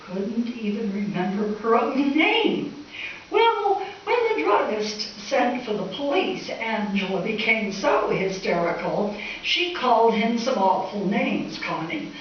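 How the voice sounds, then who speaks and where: A woman's voice: a little high, big pitch swings, slow pace. A woman playing a kindly, scatterbrained older landlady who rambles earnestly in an old-time radio comedy. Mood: vague, chatty. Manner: rambling, absent-minded, earnest. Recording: echoey stage from far off, dull, hissy.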